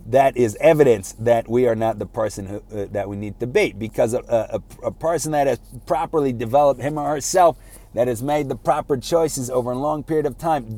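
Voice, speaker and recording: Mellow-voiced man, halting informal talk. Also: medium pitch. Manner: halting, repeats words and rephrases often. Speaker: speaker giving a self-improvement talk. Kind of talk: informal motivational lecture.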